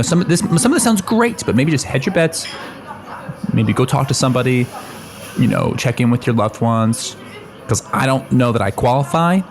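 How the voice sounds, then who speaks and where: A man improvising a radio-play role as a concerned, awkward office colleague, reasoning tactfully and haltingly. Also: mid-range voice, a little hiss or hum.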